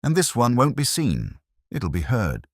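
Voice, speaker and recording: A man's voice: medium pitch, huge pitch swings, a little slow, no room sound, studio quality, voice pushed up, heavily acted. A man voicing a grave, brooding male police inspector who speaks tersely and solemnly in an audio mystery.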